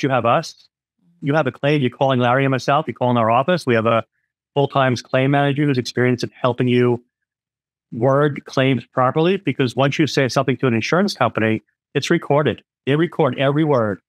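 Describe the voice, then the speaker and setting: A man's voice: mid-range voice, steady tone, brisk, no room sound. A middle-aged man, an independent insurance agent, explains things frankly over a video call, with many "um"s and "you know"s. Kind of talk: explanatory interview talk.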